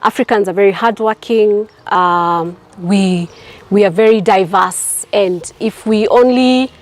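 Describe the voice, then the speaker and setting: A woman's voice: mid-range voice, unhurried, darkish tone. A woman from Kenya, an entrepreneur, answering interview questions in an earnest, reflective way with repeated phrases. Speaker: entrepreneur, property developer.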